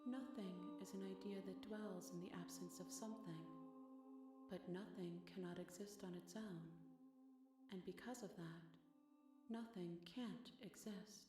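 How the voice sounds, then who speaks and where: A woman playing a vast otherworldly entity in a visual novel, speaking in measured, poetic, solemn and cryptic phrases. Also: mid-range voice, steady tone, lightly acted. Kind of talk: scripted visual novel voice acting. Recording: echoing game voice under loud music.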